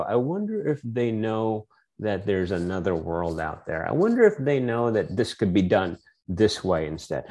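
A man's voice: fairly low pitch, darkish tone. A middle-aged man from the Philippines, a guest in a casual interview, reflecting on his youth in a storytelling way with many restarts.

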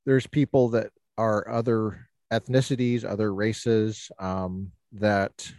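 Lively rambling male podcast host. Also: fairly low pitch, takes it slowly, no echo, clean studio sound. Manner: animated, rambling, playful teasing. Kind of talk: casual interview chat.

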